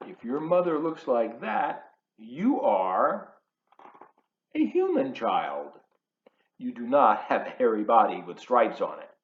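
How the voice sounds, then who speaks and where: A man reading a children's book aloud in an expressive, emphatic, teacherly way, adding chatty asides for his young listeners. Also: medium pitch, slow pace. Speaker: volunteer children's storybook reader. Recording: roomy echo, slightly dull sound.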